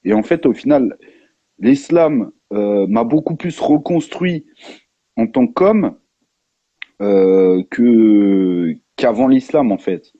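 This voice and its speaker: A man giving casual personal testimony, halting and emphatic, repeating his phrases as he goes. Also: mid-range voice, lively intonation, warm tone. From France. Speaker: live discussion participant. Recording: muffled, echoey lo-fi live stream.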